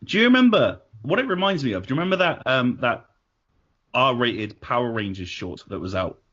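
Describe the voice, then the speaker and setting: A host chats loosely and hesitantly, filling his pauses with ums, in a mid-pitched voice. The sound is muffled and lo-fi. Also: sing-song, a bit bright. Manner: chatty, hesitant, full of ums. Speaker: podcast host. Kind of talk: casual panel discussion. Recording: dull lo-fi sound.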